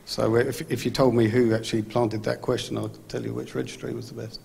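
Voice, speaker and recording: A man on a conference panel speaks quickly in a gravelly, even voice. His handheld mic catches a long hall reverb and a faint hum. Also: mid-range voice. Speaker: aviation executive on a panel. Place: large conference hall stage.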